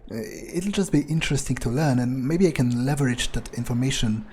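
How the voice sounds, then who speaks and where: A man's voice: medium pitch, fairly quick, darkish tone, husky. A man playing a game in character as a vampire, thinking aloud in a hesitant, self-interested, sweary way. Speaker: video game player narrating in character as a vampire.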